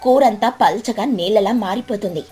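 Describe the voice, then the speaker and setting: A woman's voice: mid-range voice, moderate pace, warm tone, breathy edge. A woman narrating a scripted children's story as the storyteller. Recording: background music bed.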